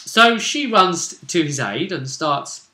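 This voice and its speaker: Male game master, higher-pitched and lively, casual storytelling, roomy echo. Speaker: game master recapping a role-play session. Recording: roomy, echoing home recording.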